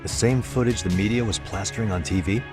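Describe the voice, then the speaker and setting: A cool, persistent private detective, played by a male actor, talks in a very low voice. He is casual and composed but keeps probing, as in a video game cutscene, with faint music behind. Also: quick pace, close to an everyday voice. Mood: wry, attentive.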